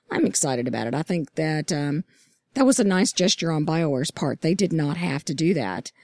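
A woman hosting a casual podcast roundtable, hoarse from losing her voice, upbeat and enthusiastic. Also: deep voice, a little mellow, no room sound. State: hoarse, lost voice. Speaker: podcast host.

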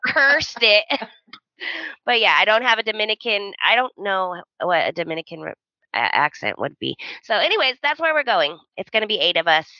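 A woman's voice: mid-range voice, sing-song, normal speed, sharp tone, dry recording, dull audio. A woman in her thirties co-hosting a podcast, telling stories in casual chat and laughing often. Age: 30s. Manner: chatty storyteller, laughs often. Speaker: podcast co-host.

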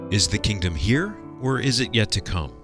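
A man's voice: fairly low pitch, sing-song, normal speed, fairly bright tone, soft background music. A man working as a radio announcer, giving a scripted promotional read.

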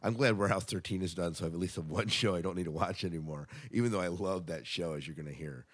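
A man's voice: low voice, fast. A man hosting a podcast, chatting casually and making announcements in an enthusiastic manner. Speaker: podcast host.

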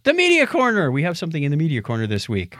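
A man's voice: fairly high pitch, wildly swooping pitch, very fast, very noisy. A man hosting a podcast in a casual, joking, conversational way. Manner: joking, playful. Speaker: podcast host. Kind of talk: casual co-hosted podcast chat.

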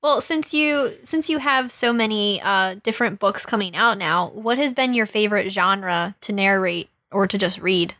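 Friendly woman co-host, medium pitch, dry recording. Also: moderate pace, few pauses, sharp tone, no room sound. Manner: friendly, asks questions, agrees often. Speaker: podcast co-host. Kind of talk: casual podcast interview.

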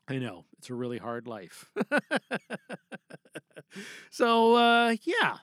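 A man's voice: medium pitch, huge pitch swings, takes it slowly, a bit bright, no echo. A man hosting an audio drama podcast, giving casual, jokey sign-offs and announcements with some theatrical flourish. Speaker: podcast host and performer.

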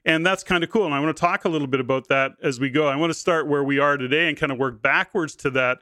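A man's voice: fairly high pitch, fast, pushed and bright, no echo, studio quality. A man hosting a podcast interview, speaking in an enthusiastic, affirming way. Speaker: podcast host. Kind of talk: conversational interview.

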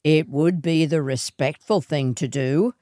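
A woman voicing a gruff, grimy male swagman who talks in a coaxing, teasing way. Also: sits low, a little slow, warm tone, dry recording, studio quality. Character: gruff, sly, seductive swagman, a grimy whiskered vagrant man.

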